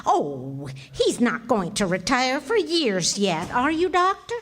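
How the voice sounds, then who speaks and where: Patient, gently sarcastic radio-comedy wife played by a woman, higher-pitched, lively intonation, slow measured teasing. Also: exaggerated voice. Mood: protective. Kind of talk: 1940s radio comedy acting.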